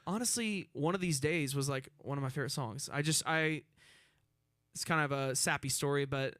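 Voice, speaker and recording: A man's voice: a little high, moderate pace, fairly bright tone, no echo. A man from Nashville, a singer-songwriter guest chatting loosely between songs in a radio station studio, laughing and using lots of fillers. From Nashville, Tennessee. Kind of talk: casual chat between songs. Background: acoustic guitar.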